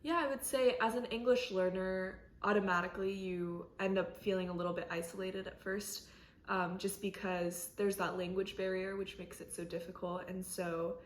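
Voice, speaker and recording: A young woman whose first language is Korean answers interview questions in a thoughtful, measured way, with frequent fillers. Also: medium pitch, steady tone. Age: young adult. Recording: roomy echo.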